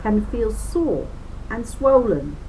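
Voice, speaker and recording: A woman's voice: a little high, sing-song, slow pace, warm tone, slight background noise, low-quality recording. A woman reading a children's book aloud as a lesson, explaining as she goes with chatty asides and stressing key words.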